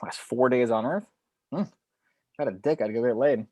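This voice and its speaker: A man playing a brash, sardonic fallen angel in a script table read, arguing excitably with some stammering. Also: mid-range voice, normal speed, lightly acted. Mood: eager, defiant.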